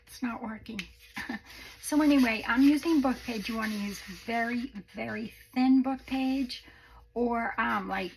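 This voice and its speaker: A woman hosting a crafting video, chatting casually through a tutorial with a croaky voice, rambling and self-deprecating. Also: mid-range voice, a little hiss or hum, poor audio. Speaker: crafting channel host.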